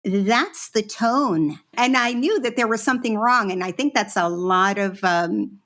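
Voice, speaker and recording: A woman's voice: mid-range voice, a little slow, fairly bright tone. A middle-aged woman from Israel, a hospitality expert, telling her story in a casual podcast interview and laughing often.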